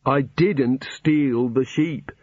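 A man's voice: on the high side, animated, moderate pace, husky, no room sound, lo-fi sound, voice pushed up. A man voicing a hapless, anxious prisoner in an audiobook, with a flustered, wryly protesting way of talking. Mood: irritable.

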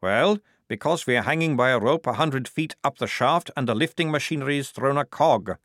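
A man's voice: medium pitch, moderate pace, fairly bright tone, dry recording, clean studio sound, voice pushed up, natural voice. A man doing an anxious, officious army corporal.

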